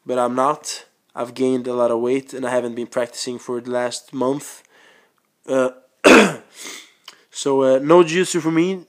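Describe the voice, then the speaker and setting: A young man from Sweden talks through his plans in a loose, rambling way, stopping often to search for words. He has caught a cold, and his voice sits at an ordinary middle pitch. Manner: rambling, many ums and restarts. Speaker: podcast host and stand-up comedian. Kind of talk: casual monologue.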